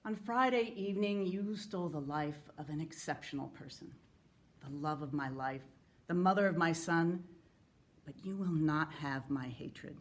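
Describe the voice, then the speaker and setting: A woman giving a solemn, earnest and measured dramatic reading of a letter. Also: sits low. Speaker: actor reading historical letters.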